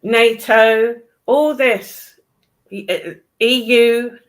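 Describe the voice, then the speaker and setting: A woman's voice: on the high side, extremely slow. A British woman in casual political chat, speaking in a blunt, opinionated way with some swearing. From United Kingdom.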